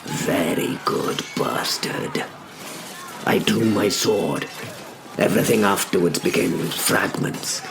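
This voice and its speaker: Over clear background noise, a man plays a young medieval knight narrating his own tale in audio drama. The voice is very low and gravelly, solemn and measured, leaving deliberate pauses between phrases. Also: full character voice. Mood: grave, wry. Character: young male knight William, reflective, brave yet frightened. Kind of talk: scripted first-person dramatic narration.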